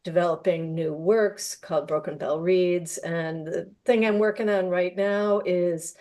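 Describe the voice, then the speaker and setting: Woman, panel guest, warm mellow timbre, slightly low pitch, conversational delivery. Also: fairly even intonation, a little slow. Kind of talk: conversational self-introduction on a panel.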